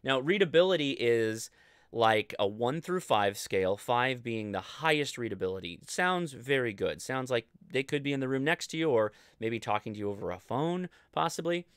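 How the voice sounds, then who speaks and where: American male host, forties, for an informal explainer stream; clean, smooth voice, dry close sound. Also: mid-range voice, expressive, moderate pace, a bit bright, no echo. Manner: informal, conversational, thinking aloud. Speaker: host of a ham radio show. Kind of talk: casual livestream explainer. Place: indoor home studio.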